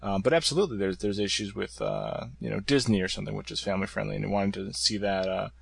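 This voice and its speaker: Low, gravelly man, hesitant. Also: steady tone, fast, no echo. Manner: hesitant, searching for words, many "uh" and "um". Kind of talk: casual chat.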